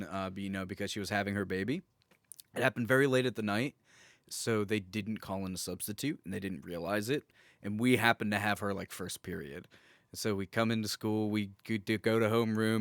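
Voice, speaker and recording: A man's voice: fairly low pitch, a bit bright, clear, resonant tone, no room sound, clean studio sound. A man co-hosting a podcast, telling anecdotes in a wry, informal, joking manner. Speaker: podcast co-host. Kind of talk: casual chat, telling anecdotes.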